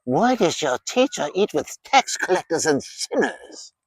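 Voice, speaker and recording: A man acts out a critical religious elder type from a scripture story. He is pointed and challenging, with a very animated pitch in a dry recording. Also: unhurried, creaky, breathy edge, no echo, heavily acted. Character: questioning, critical religious men challenging a teacher.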